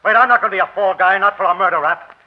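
A man's voice: high voice, very fast. An actor playing a shifty, nervous gambling stickman, slangy and defensive, in a 1940s radio crime drama. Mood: panicked. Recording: dull, band-limited old radio broadcast.

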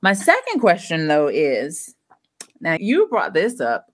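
A woman's voice: on the low side, huge pitch swings, slow. A woman hosting a podcast interview, playful and teasing, joking with her guest. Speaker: podcast host. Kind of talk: casual interview chat.

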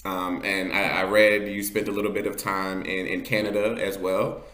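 A man with a low-pitched voice hosts a casual interview. He is encouraging and affirming as he guides the conversation, and the recording has a noticeable roomy echo. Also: flat delivery, normal speed, a bit bright. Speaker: interview host. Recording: roomy, echoing sound.

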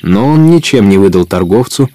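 A man's voice: fairly low pitch, animated, normal speed, warm tone. A man narrating an adventure audio drama in a scripted storytelling style.

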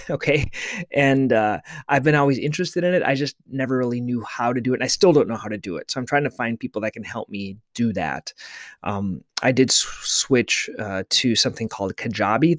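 A man's voice: medium pitch, dry recording, studio quality. A middle-aged man hosting a podcast, talking casually in a rambling way with many restarts and fillers. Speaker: podcast host, podiatrist.

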